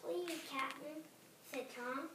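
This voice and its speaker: A high-pitched child reads a storybook aloud very slowly and hesitantly, often repeating and correcting words. The recording is very roomy, with the voice at a distance from the mic. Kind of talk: halting read-aloud of a storybook. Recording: roomy, distant home recording, faint noise.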